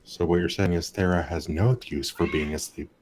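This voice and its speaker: A man's voice: low voice, fairly even intonation, a little fast, darkish tone, a little hiss or hum. A man in a game stream's voice chat, making dry, matter-of-fact remarks with a few fillers. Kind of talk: casual voice-chat banter.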